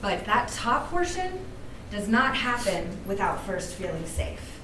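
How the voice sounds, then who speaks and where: A woman leading a workshop, speaking gently and invitingly to a group, with fillers. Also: medium pitch, sing-song, slow. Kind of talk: guided workshop talk with meditation. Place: room with a live audience. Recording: roomy, distant mic with room noise.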